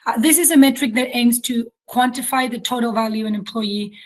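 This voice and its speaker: Higher-voiced woman with a Chilean accent. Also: brisk. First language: Spanish. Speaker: webinar host, company chief executive. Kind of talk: webinar presentation.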